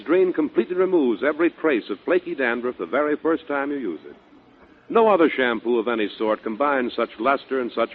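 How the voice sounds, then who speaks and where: Animated American announcer on tinny old radio. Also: male voice. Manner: polished, emphatic sales pitch. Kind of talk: 1940s radio commercial announcing. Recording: tinny 1940s radio broadcast, music behind.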